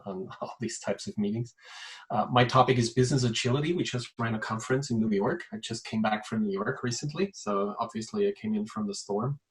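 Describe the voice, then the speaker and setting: A man's voice: lowish voice, low-quality recording. Chatty Austrian man on a video call. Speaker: change facilitator. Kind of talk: casual self-introduction.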